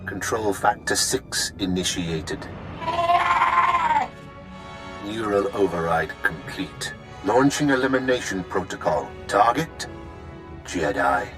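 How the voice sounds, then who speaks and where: A man's voice: deep voice, lively intonation, unhurried, low-quality recording. A man playing a cold, domineering sci-fi game villain with an imperious, clinical, menacing delivery. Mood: clinical command. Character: cold, domineering male villain controlling his enslaved agent. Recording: music behind the voice.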